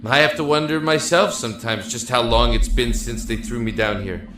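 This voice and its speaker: An actor playing an earnest, anguished young man locked in a dark cell, speaking haltingly and emotionally in an audio drama. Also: medium pitch, roomy sound, a little hiss or hum, close to an everyday voice. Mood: weary, bitter. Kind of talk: audio drama acting and first-person narration. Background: music.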